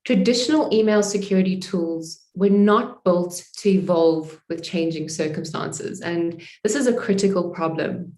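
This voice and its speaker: A woman with a South African accent gives a webinar presentation in a low-ish voice. She talks conversationally and sprinkles in "you know". Her call mic picks up an echoey room. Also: a little slow, few pauses, dark tone. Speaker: sales presenter.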